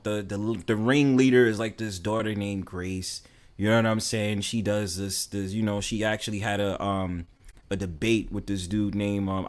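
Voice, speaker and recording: A young man with a New York accent, talking casually and bluntly to his stream, full of fillers and swearing. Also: on the low side. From New York City. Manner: rambling, heavy fillers, blunt and profane.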